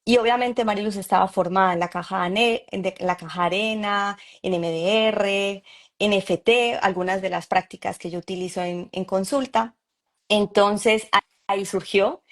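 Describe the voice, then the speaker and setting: A woman's voice: mid-range voice, fairly even intonation, normal speed. A Colombian woman in her forties, a psychologist guest, telling her story in an easy, laughing way. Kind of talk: conversational interview.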